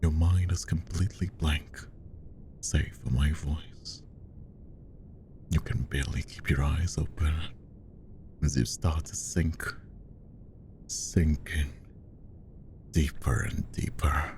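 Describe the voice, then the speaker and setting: A man playing a possessive, menacingly tender magician hypnotist in roleplay ASMR, speaking softly and coaxingly, often slipping into whispers. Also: fairly even intonation, slow pace, pauses often, slight background noise, exaggerated voice. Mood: soothing, commanding. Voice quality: soft, very deep, gravelly. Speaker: voice actor.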